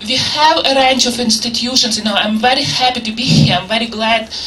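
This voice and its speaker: Panelist: a woman whose first language is Ukrainian, with a bright, clear-cut voice, earnestly thinking aloud and heard from a distance. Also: fairly high pitch, fairly quick. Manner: earnest, searching, with many restarts and asides of "right?". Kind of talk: unscripted talk at a panel discussion. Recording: distant mic with faint room noise.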